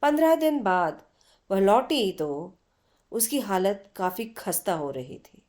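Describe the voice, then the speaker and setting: A woman reading a literary story aloud in an expressive, unhurried manner with dramatic pauses. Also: medium pitch. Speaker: story reader.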